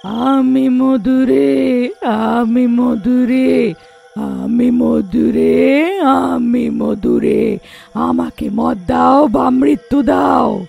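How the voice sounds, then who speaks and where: Woman voicing a slow, drawling, menacing cartoon witch. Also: high-pitched, big pitch swings, creaky, mellow, faint music behind, exaggerated voice. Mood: demanding threat. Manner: slow, drawn-out, repetitive chanting. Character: menacing drink-craving village witch, female, chanting and demanding.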